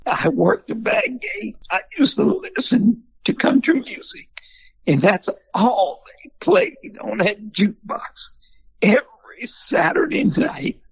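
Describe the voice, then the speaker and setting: Husky older man telling stories. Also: sits high, slow pace, no echo, highs cut off. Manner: folksy, animated storyteller. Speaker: museum founder and former country singer. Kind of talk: storytelling in an interview.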